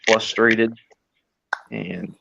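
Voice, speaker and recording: A man's voice: fairly low pitch, fairly even intonation, very slow, gravelly, background noise. A man from Colorado chatting casually in a group, easygoing and a bit rambling. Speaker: chat participant. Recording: dull lo-fi recording.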